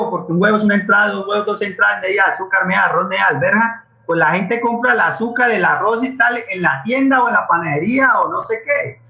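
High, bright-voiced Colombian man, echoey. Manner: earnest, explanatory, hesitant repetitions. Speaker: interview guest, playwright and community theater maker. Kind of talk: conversational interview answer. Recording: dull, echoey remote-sounding audio.

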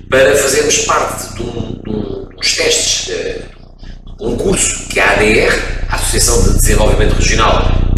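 A middle-aged man from France who speaks with a European Portuguese accent. He is a radio broadcaster telling stories as an interview guest, with frequent hesitations. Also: mid-range voice. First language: French. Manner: expansive storyteller, frequent hesitations. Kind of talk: casual interview, reminiscing. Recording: echoey room, distant mic, background noise.

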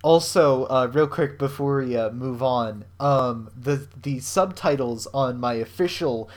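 A man's voice: medium pitch, unhurried. A man co-hosting a podcast in casual chat, rambling with lots of fillers and swearing freely. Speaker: podcast co-host.

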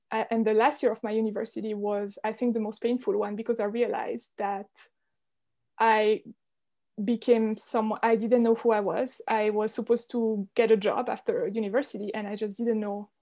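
A woman from Switzerland with a fairly high voice talks as a podcast guest. She is candid and reflective, speaking in a conversational interview style. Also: darkish tone.

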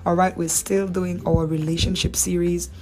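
A woman with a Dominican accent teaching from the Bible in a relaxed, conversational way, with rhetorical questions and playful asides. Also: lowish voice, normal speed, soft background music.

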